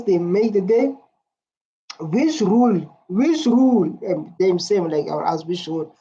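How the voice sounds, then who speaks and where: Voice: medium pitch, warm tone. A reaction video host giving casual commentary and reading out viewer comments. The talk rambles, with frequent "like" and restarts. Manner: rambling, heavy use of "like" and restarts. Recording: dull lo-fi sound.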